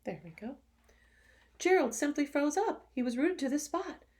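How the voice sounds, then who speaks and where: A woman, a teacher, reading a picture book to children expressively, with gentle sympathetic asides. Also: high voice, lively intonation, normal speed. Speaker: teacher reading a storybook to pupils.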